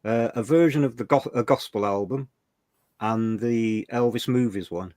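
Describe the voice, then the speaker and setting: A man's voice: mid-range voice, normal speed, darkish tone, no room sound, clean studio sound. An older man from England, co-hosting a livestream, chatting about old memories with mild swearing. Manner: chatty, emotional, swears mildly. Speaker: livestream co-host. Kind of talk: casual reminiscing chat.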